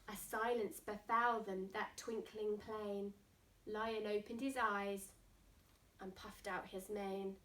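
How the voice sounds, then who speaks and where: A woman's voice: mid-range voice, fairly even intonation, slow pace, a bit bright, distant mic, slight background noise. A woman reading a picture book to young children theatrically, with asides and questions to her listeners. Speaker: storybook reader. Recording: echoey room.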